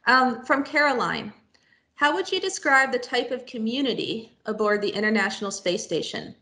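Young woman moderator on webcam, bright higher voice, reads out questions briskly. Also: expressive. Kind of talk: reading audience questions. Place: home room. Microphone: video call webcam.

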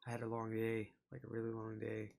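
A tired young man chatting casually to viewers as a reaction host, low-key and self-deprecating. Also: monotone, a little fast, creaky, darkish tone. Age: young adult. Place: small cluttered room indoors.

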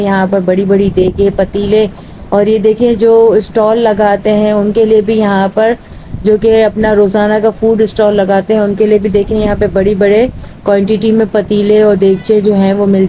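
A woman's voice: medium pitch, highs cut off, slight background noise. A woman with a Pakistani Urdu accent, narrating a market tour and listing goods and prices one by one. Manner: steady, listing items one by one. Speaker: vlogger. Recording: music bed underneath.

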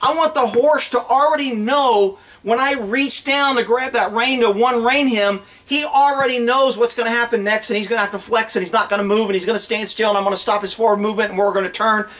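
A middle-aged man talks in a raised, high pitch. He explains in a folksy, animated and blunt way, unscripted, like a trainer addressing a camera outdoors. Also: a little fast, few pauses. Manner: animated, blunt, folksy, acts out imagined dialogue. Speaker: horse trainer and vlogger. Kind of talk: casual off-the-cuff instructional talk to camera. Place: outdoors on open rural land.

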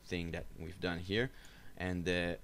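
Low, creaky-voiced man teaching software. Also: level tone, unhurried, slight background noise. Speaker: tutorial instructor. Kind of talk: unscripted software screencast tutorial. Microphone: voice-over for a screen recording.

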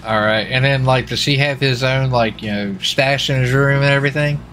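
A man with a medium-pitched voice improvises as a police officer in an online game. He questions people casually and haltingly, rambling a little. Faint ambient noise sits behind him. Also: fairly even intonation, fairly bright tone. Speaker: streamer playing a police marshal in roleplay.

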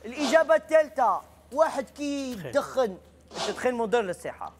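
A man's voice: extremely high pitch, sing-song, brisk, noisy background. A middle-aged man with an Algerian accent, hosting a TV game show on a big studio stage and teasing the contestants.

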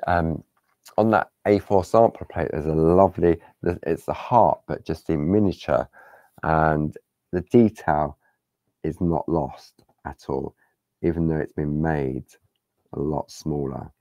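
A man with a low, gravelly, hoarse voice talks in an easy, rambling way while he demonstrates a craft live. His delivery is unhurried, his pitch swings widely, and his tone is warm and mellow. The sound is close and dry. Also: no echo. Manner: relaxed, chatty, rambling. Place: craft studio table. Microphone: overhead camera on hands, speaker unseen.

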